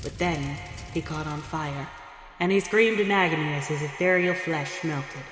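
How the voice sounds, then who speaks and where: A man's voice: fairly high pitch, moderate pace. A man narrating an audio drama in a dramatic scripted style. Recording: echoey hall, loud music behind.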